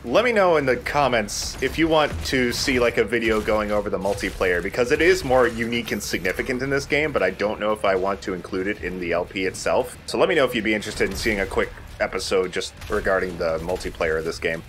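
Low-voiced man doing gameplay commentary. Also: fast, few pauses, fairly bright tone, a little hiss or hum. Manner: explanatory, conversational, swears casually. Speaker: let's play host.

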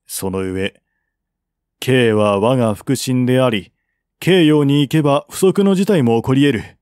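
A man's voice: mid-range voice, a little slow, darkish tone, no echo, studio quality, close to an everyday voice. A man voicing a cautious, authoritative prince in a historical audiobook, with a grave, lordly manner.

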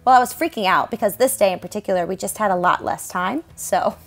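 A woman's voice: mid-range voice, fast, faint music behind. Chatty young woman narrating a makeup tutorial. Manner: chatty, self-deprecating, laughs often. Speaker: stage performer and makeup vlogger. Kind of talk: casual tutorial voice-over.

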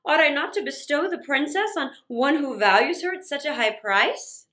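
A woman's voice: sits high, expressive, normal speed, sharp tone, echoey room. Woman acting a grand, emphatic storybook Sultan. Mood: impressed. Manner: stately, emphatic, archaic phrasing. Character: grand Sultan weighing a rich gift.

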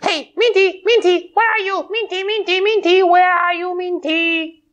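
Little toy pony, very high squeaky falsetto, childlike, eager, repeats words excitedly, children's storybook. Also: male voice, normal speed, muffled recording. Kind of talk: children's storybook puppet play.

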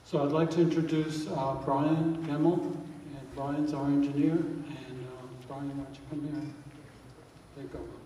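Brief: mellow, monotone man presenting plans, picked up from far off in a big hall. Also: on the high side, measured pace, a little hiss or hum. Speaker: committee presenter at a town hall meeting. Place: large school auditorium. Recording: echoey hall, heard from far off.

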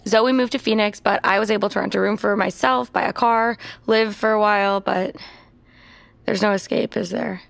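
A determined, wounded young woman in an audio drama. Her voice is creaky, in a very dry recording. She speaks bluntly but haltingly, hardened by hurt. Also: medium pitch, fairly even intonation, a bit bright, no echo. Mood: weary, confessional. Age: young adult.

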